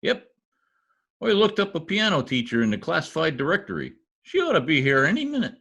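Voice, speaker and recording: An actor playing a cocky, bumbling husband with folksy slang, in old-time radio comedy style. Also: male voice, fairly high pitch, huge pitch swings, fairly quick, highs cut off, exaggerated voice. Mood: stubborn bluster. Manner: folksy slangy speech, blustering. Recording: husky-sounding video call recording with music.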